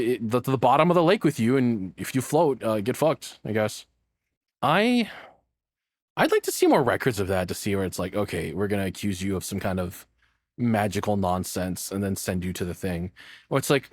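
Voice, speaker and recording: A man's voice: mid-range voice, no room sound, clean studio sound. A man giving casual, joking reaction commentary, rambling along and swearing freely. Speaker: reactor, streamer.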